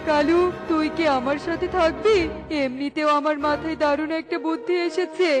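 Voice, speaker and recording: A woman's voice: high-pitched, steady tone, normal speed, over music, natural voice. A woman voicing a scheming, resentful male parrot in a children's cartoon, indignant and bossy.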